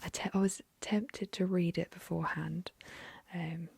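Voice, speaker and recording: A young woman whispering an ASMR story reading into a close mic, softly, with gentle fillers. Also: lowish voice, fairly even intonation, a little slow, gravelly, breathy edge, no echo. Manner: soft whisper, chatty with fillers after the story.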